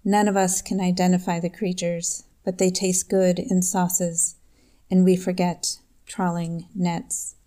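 A woman from New York State introducing herself and reading her own poem in a slow, measured, deliberate way. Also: on the low side, little pitch movement, warm tone. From New York State, USA. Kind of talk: poetry reading.

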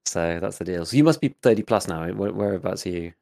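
A man co-hosting a gaming podcast in casual chat, with a slight gravel in his voice, rambling with lots of fillers. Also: low voice, expressive, quick pace, warm tone. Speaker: podcast co-host, gaming YouTuber.

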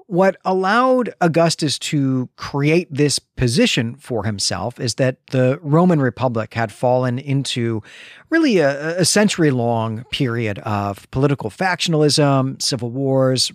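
A man's voice: medium pitch, dry recording, clean studio sound. A man co-hosting a podcast, explaining things in a conversational, professorial way. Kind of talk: conversational literary podcast discussion with explanatory asides.

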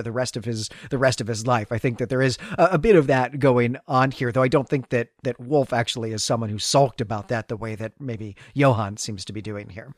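A man co-hosting a podcast, musing about fiction conversationally and haltingly, often repeating words as he finds his phrasing. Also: medium pitch, no room sound, clean studio sound. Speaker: podcast co-host. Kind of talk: conversational literary discussion.

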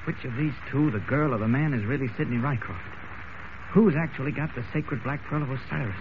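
An earnest newspaper reporter in a vintage radio serial acted by a man, speaking as if thinking aloud. The voice sits at a medium pitch, heard through a thin, tinny band with clear background noise. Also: brisk, close to an everyday voice. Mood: puzzled. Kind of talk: 1940s radio acting. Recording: noisy, tinny old radio recording.

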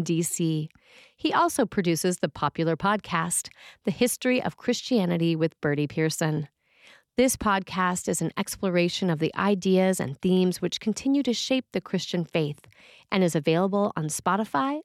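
A woman's voice: fairly low pitch, no echo. Woman reading a sermon, very dry recording. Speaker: preacher reading a written sermon for a podcast.

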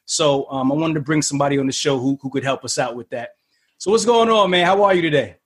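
Man, podcast host, husky voice, casual, lots of fillers. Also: fairly high pitch, fast. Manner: laid-back, many fillers and restarts.

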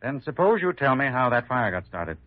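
A man's voice: mid-range voice, big pitch swings, a little fast, close to an everyday voice. Man playing a skeptical American investigator, muffled radio. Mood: probing, doubtful. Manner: clipped, businesslike questioning. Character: brisk, skeptical male insurance investigator. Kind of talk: old-time radio drama acting. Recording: muffled vintage radio recording.

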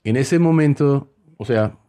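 A man's voice: medium pitch, unhurried, mellow. A man, native in English, telling casual stories in Spanish with a mixed Peruvian, Spain and Mexican accent, acting out dialogue. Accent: mixed Spanish with Peruvian, Spain and Mexican influences. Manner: acts out dialogue while telling anecdotes. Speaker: host. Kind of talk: casual conversational storytelling.

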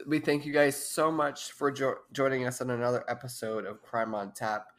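A woman's voice: a bass voice, flat delivery, normal speed. A young woman in her twenties, a podcast co-host, talking casually and giggling often while stuffed up with allergies. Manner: giggly, rambling. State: congested. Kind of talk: casual chat.

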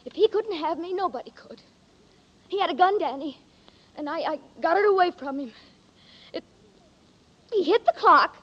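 An actress playing a young woman confessing a secret in a 1940s radio drama, speaking softly and tenderly. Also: extremely high pitch, lively intonation, normal speed, pauses often. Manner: soft, hushed, tender. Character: quiet young woman with a deadly secret, Ruth. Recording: dull old radio recording.

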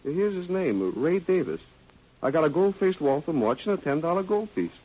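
Fussy pawnbroker, lightly high voice, swooping intonation, nervous, vintage radio drama, tinny sound. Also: male voice, sing-song, normal speed. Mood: insistent, uneasy. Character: fussy, nervous male pawnbroker checking records. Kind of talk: 1930s radio crime drama acting. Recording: tinny, narrow old radio recording.

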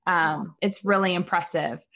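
A woman's voice: lowish voice, fairly even intonation, measured pace, a bit bright. A woman hosts a casual interview, asking her guest questions. She speaks fluently and in an encouraging way.

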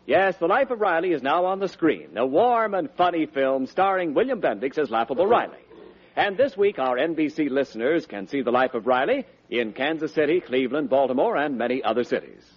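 A man's voice: on the high side, expressive, brisk, husky. A male American radio announcer reading scripted ads and narration in 1940s broadcast style. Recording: dull vintage radio broadcast.

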